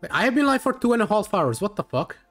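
A man's voice: high voice, very animated, fast, a bit bright, soft background music. A young man from Hungary with a Hungarian accent, streaming a game live and chatting in a rambling way with lots of fillers, tired late in the session. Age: young adult.

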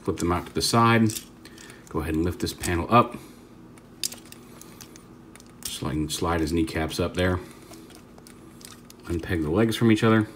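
A man with a deep, low voice talks through a toy review in a relaxed, off-the-cuff way. He rambles and corrects himself mid-sentence while he fiddles with the piece on a tabletop. A faint hiss sits under his voice. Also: measured pace, pauses often. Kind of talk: casual hands-on product demo.

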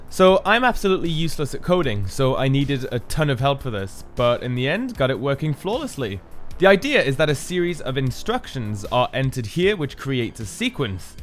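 Male maker, mid-pitched, scripted explainer narration for a build video, music underneath. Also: fairly bright tone.

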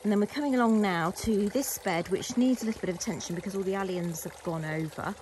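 A woman's voice: mid-range voice, fast, darkish tone, a little hiss or hum. A woman with an English accent giving a chatty, casual commentary as she shows viewers around, recorded outdoors on a handheld device. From England. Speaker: gardening vlogger. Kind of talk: casual garden tour commentary. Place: outdoors walking around a garden. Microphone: handheld phone or camera behind the lens.

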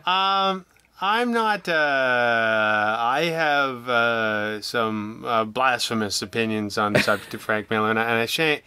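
Male podcast guest, middle-aged, clear and crisp, halting conversational delivery, dry close sound. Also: medium pitch, bright, forceful tone, no room sound. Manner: hesitant, halting, rambling around his thoughts. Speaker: audio drama creator, interview guest.

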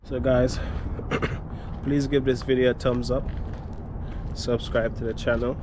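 A man's voice: medium pitch, slow pace. A young man, a truck driver, chatting casually to his viewers while walking around his truck outdoors, filmed on a handheld action camera. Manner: relaxed, chatty, addresses viewers as guys. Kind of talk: casual walkaround vlog talk to viewers. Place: outdoors beside a truck trailer in an open lot. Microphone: action camera held at arm's length. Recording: outdoor wind and traffic noise.

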